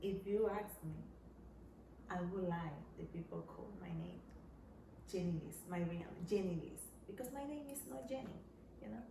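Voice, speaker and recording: A woman's voice: lowish voice, moderate pace. A woman from the Dominican Republic with a Dominican Spanish accent, chatting casually and playfully as a vlog co-host, insisting and repeating herself for emphasis. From Dominican Republic (Santiago). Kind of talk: casual couple chat. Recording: roomy echo, clear background noise.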